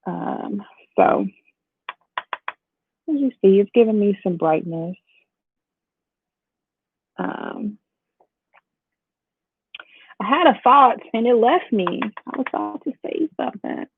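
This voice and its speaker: A woman's voice: mid-range voice, slow, long pauses, a little hiss or hum. A young woman from Chicago with a bit of Southern twang, chatting playfully with her viewers during a makeup livestream. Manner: chatty, playful, lively, talks to the chat. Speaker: beauty vlogger. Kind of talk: casual makeup tutorial livestream. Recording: dry phone-like audio.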